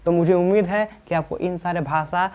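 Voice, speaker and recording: Higher-pitched Bihari man teaching informally. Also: steady tone, normal speed, darkish tone, clear, resonant tone. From Bihar, India. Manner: repeatedly poses questions and answers them himself; colloquial, slips into local dialect. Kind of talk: informal classroom-style explanation.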